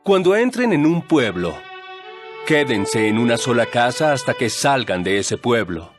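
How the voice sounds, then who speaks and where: An actor playing a calm, authoritative male teacher in a dramatized Bible recording. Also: medium pitch, unhurried, over music. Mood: instructing. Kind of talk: dramatized Bible audio acting.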